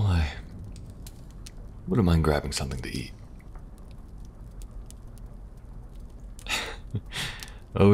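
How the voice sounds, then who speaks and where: A man playing a calm, protective young special agent in an ASMR audio roleplay, speaking softly and reassuringly with dry humor and a gravelly, at times breathy voice. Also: extremely low pitch, exaggerated voice. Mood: wry, lighter. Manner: soft, hesitant, reassuring, dryly humorous. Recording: audible background noise, ambience.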